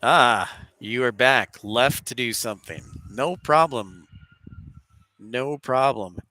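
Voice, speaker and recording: Bright-voiced man with a head cold. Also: mid-range voice, slow. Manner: chatty, welcoming viewers. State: congested with a head cold, sore throat. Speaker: livestream host, aviation spotter. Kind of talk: casual live stream commentary and chat. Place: live at an airport.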